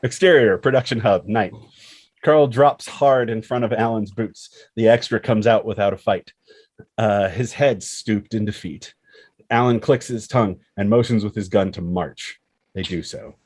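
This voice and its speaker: Low, husky man narrating a screenplay. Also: moderate pace, a little mellow.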